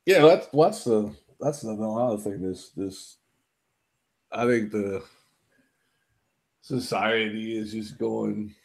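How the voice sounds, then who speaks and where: A man's voice: fairly low pitch, slow pace, vocal fry, long pauses. A man chatting casually in a live stream group call, rambling and joking and swearing freely.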